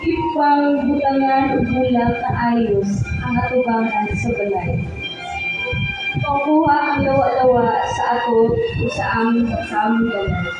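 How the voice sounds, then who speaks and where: A woman's voice: high-pitched, measured pace, highs cut off. A woman playing a young woman who tells her homecoming love story in a radio drama. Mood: earnest narrating. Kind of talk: radio drama narration and dialogue. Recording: very echoey, distant, music underneath.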